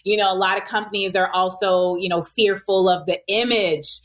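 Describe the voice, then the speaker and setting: A woman's voice: medium pitch, steady tone, normal speed, a bit bright. A woman in her thirties, a podcast guest, talking casually about herself with frequent fillers and laughs. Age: 30s. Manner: chatty, laughing often, fillers. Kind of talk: casual interview chat.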